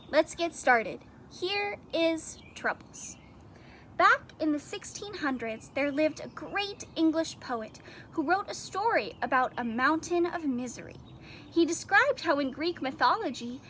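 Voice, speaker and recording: A woman's voice: sits high, fairly bright tone, a little hiss or hum. A woman reading a story aloud for children, addressing her listeners in a simple, friendly way. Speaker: story reader.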